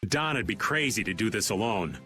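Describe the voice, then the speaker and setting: A man's voice: fairly low pitch, expressive, brisk, pushed and bright, faint music behind. A man voicing a breezy, roguish middle-aged slacker in a video game, playful and casual in delivery. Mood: concerned. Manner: laid-back, playful, casual. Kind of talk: video game dub acting.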